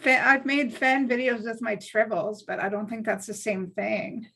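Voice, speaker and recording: A woman's voice: on the high side, fairly even intonation, normal speed, creaky, sharp tone, husky. A woman from Canada chatting casually as a table read performer. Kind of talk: casual chat.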